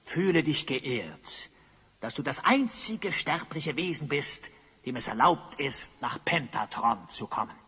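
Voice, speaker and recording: An actor playing a tyrannical, gloating evil sorcerer in an audio drama, pompous and commanding. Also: male voice, a little high, expressive, unhurried, pushed and bright, slightly rough, full character voice. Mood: menacing, haughty. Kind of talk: German audio drama acting. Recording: long cavernous reverb.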